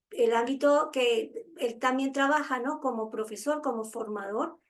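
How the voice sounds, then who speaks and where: A woman moderator introduces a guest speaker in a formal, even way. Her voice sits somewhat high.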